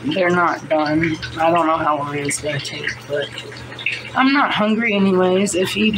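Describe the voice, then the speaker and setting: A woman's voice: low-pitched, moderate pace. Middle-aged American woman, noisy livestream audio. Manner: profane, confrontational. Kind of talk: rambling livestream chat. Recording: noisy livestream replay with room ambience.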